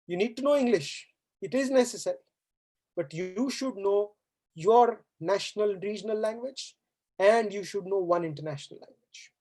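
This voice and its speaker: A woman's voice: mid-range voice, normal speed, a little mellow. Earnest woman giving a stirring speech. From India. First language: Hindi. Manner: earnest, exhorting, rhetorical questions. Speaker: guest speaker. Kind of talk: formal valedictory speech. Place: university auditorium.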